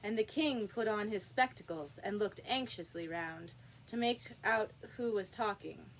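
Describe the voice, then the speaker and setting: A woman reading a children's classic aloud as an expressive storybook narrator. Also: mid-range voice, measured pace, slight background noise, poor audio. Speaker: storybook reader.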